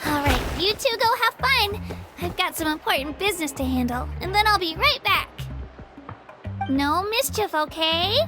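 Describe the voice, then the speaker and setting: A woman voicing an eager, friendly young girl adventurer in a video game, earnest, enthusiastic and chatty. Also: very high-pitched. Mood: delighted, playful.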